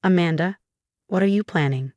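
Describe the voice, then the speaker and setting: A woman narrator plays a troubled husband in a dramatic audio story. He speaks in a subdued, steady way, at a lowish pitch for a female reader, recorded dry. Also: normal speed, dry recording, muffled recording, natural voice. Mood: wary. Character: troubled husband, torn son of a scheming mother.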